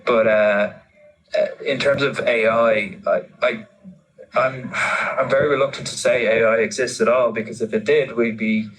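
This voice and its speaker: A man's voice: medium pitch, fairly even intonation, moderate pace, fairly bright tone, rough recording. A man giving informal interview answers, thinking aloud and often repeating words as he explains. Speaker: interviewee, marketing manager.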